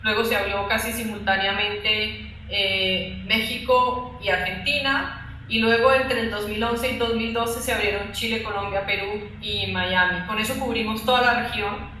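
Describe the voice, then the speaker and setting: A woman's voice: mid-range voice, steady tone, moderate pace, bright, forceful tone. A woman executive giving explanatory answers as an interview guest, often ending phrases with a questioning tag. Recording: very echoey room, faint background noise.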